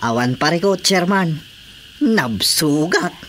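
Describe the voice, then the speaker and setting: A man's voice: fairly high pitch, lively intonation, slow, a bit bright, a little hiss or hum. A man playing a deferential, agreeable village councilor reporting to his boss in an Ilocano radio drama. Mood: obliging.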